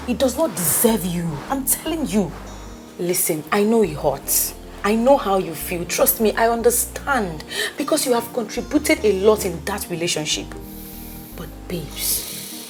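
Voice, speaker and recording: A woman's voice: medium pitch, close to an everyday voice. A woman with a Nigerian accent playing a pushy, caring friend who coaxes and pleads with emphasis. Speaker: consoling friend.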